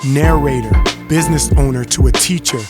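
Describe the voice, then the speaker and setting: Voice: mid-range voice, a little fast, loud music behind the voice. A rapper delivering rhythmic spoken-word verse as a theme song. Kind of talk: spoken-word rap over a beat. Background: loud hip hop beat.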